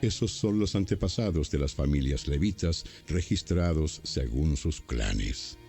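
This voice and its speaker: A man narrating a dramatized audio Bible from a script in a solemn, deliberate way. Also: a bass voice, lively intonation, vocal fry, mellow, faint music behind.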